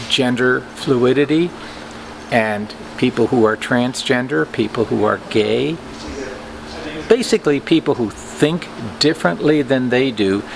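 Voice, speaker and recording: An older man giving an informal vlog talk to camera as a store owner, with deliberate, halting phrasing. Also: fairly high pitch. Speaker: comic book store owner and presenter. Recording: clearly audible background noise, ambient hum.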